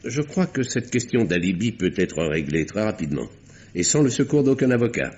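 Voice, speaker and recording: An actor playing a calm doctor-detective who exposes a murderer in an old radio drama, speaking in a measured, pointed way with dramatic pauses. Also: male voice, on the low side. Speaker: Doctor Westlake. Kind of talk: 1950s radio drama acting. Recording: dull old radio recording.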